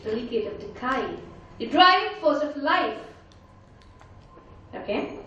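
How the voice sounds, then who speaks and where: A woman's voice: sits high, a bit bright, distant mic, highs cut off, slight background noise. A woman with an Indian accent gives a motivational talk to a live audience, speaking emphatically and asking many rhetorical questions. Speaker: motivational speaker and life coach. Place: large conference hall with an audience. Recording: very echoey hall, voice picked up from afar.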